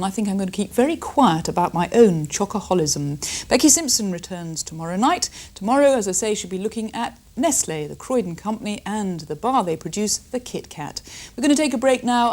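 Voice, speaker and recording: A woman's voice: mid-range voice, darkish tone. A British woman presents in a chatty studio style. Her intonation swings widely, and she teases playfully as she talks. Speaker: television presenter. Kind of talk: chatty studio interview with a child.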